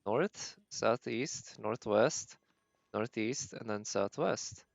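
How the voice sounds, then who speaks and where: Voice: medium pitch, takes it slowly, creaky, no room sound, dull audio. A game livestream host giving casual live commentary, thinking aloud in a tentative, musing way.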